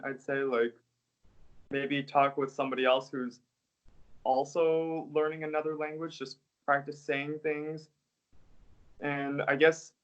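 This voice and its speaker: A young man talks through his answers in an easygoing, unhurried way, sprinkling in "like" and "I guess." His voice sits at a middle pitch. The audio is a bit dull and lo-fi, like a video call. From United States. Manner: relaxed, hedging with "like" and "I guess". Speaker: interview guest, English teacher. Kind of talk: casual interview answers.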